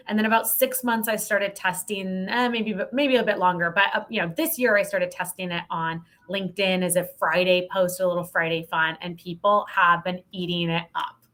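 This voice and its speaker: American female podcast guest: bright, forceful delivery, candid and playful, emphatic on key points, mid-range pitch. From Montana, United States. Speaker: podcast guest, sales professional. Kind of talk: casual interview chat.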